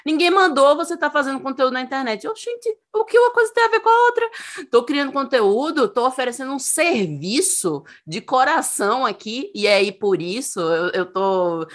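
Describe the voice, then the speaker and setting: A woman's voice: sits high, very animated, moderate pace. A woman content creator in casual conversation, speaking emphatically and animatedly, with frequent laughter.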